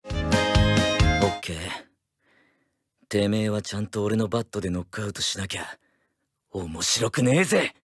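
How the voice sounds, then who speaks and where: A man voicing a gruff, competitive teenage boy baseball catcher in an anime-style game. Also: on the low side, expressive, a little slow, pauses often, no room sound, clean studio sound, faint music behind, full character voice. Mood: gruff, defiant.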